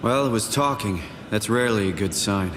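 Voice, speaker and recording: A man's voice: fairly low pitch, brisk, fairly bright tone, slight background noise, close to an everyday voice. An actor playing a wry, uneasy everyman survivor in a sci-fi horror video game, speaking in an incredulous, conversational way. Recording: echoey, long reverb, music behind.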